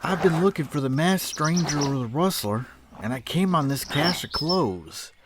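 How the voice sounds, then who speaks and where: A man's voice: on the high side, expressive, normal speed, background noise, natural voice. A man playing a proud, romantic cowboy in a melodramatic comic-book Western drama. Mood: puzzled, innocent. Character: proud, smooth-talking cowboy sweetheart accused of rustling.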